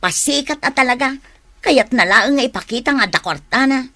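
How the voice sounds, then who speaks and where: An actress playing an envious, competitive housewife in a radio comedy drama, indignant and catty. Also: female voice, high voice, animated, moderate pace, bright, forceful tone, heavily acted. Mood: scornful. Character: envious, competitive housewife scorning her show-off neighbour.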